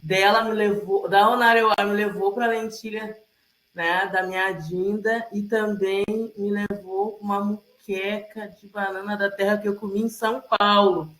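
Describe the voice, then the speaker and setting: A woman, telling stories casually and haltingly over a video call. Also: medium pitch, flat delivery, fairly bright tone. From Rio Grande do Sul, Brazil. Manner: halting, often checks in with listeners. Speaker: community elder and presenter at a cultural gathering. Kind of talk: casual oral storytelling. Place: online video call, roomy indoor space. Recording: roomy echo on a video call.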